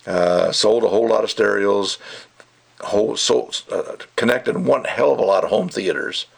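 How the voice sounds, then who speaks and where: Gravelly older man ranting casually. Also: fairly low pitch, moderate pace. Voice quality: gravelly, creaky. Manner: opinionated, rambling, emphatic, acts out mocking quotes. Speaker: audio gear reviewer. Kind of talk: casual ranting video review.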